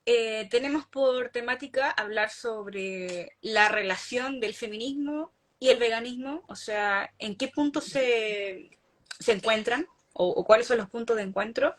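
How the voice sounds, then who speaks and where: A woman's voice: a little high, a little slow. A woman, an animal rights activist, giving an earnest explanation of her views on an online video call. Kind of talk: casual online talk.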